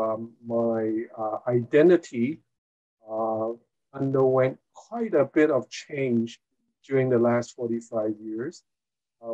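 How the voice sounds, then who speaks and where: Slow, measured older man. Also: mid-range voice, a little mellow, rough recording. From Hong Kong. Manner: measured, with frequent "uh" pauses. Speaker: guest, former mayor and retired college teacher. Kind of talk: interview answer, recounting his career.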